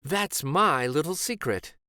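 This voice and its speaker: A man voicing a sweet, gentle woman schoolteacher in a children's storybook. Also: a little high, animated, slow, dry recording, clean studio sound, pitched above the performer's own voice, exaggerated voice. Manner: sweet-voiced.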